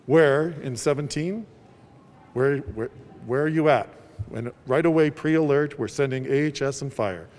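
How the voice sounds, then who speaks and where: A man's voice: mid-range voice, measured pace, a little mellow. A man speaking as an emergency services official, explaining a procedure step by step and acting out bits of dialogue. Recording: long echoing reverb of a hall.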